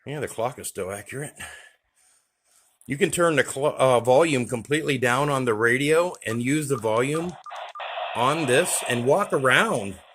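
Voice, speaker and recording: A man's voice: medium pitch, expressive, moderate pace, fairly bright tone, breathy edge. Folksy American man, chatty vlogger. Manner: folksy, chatty, addresses viewers as guys. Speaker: YouTube vlogger, CB radio hobbyist. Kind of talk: casual vlog talk to camera.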